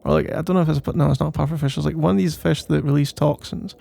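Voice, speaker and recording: A man's voice: a little high, fairly even intonation, fast talker, vocal fry, dark tone. A man doing a casual, rambling ASMR boyfriend roleplay in a playful, mock-boastful and meandering way.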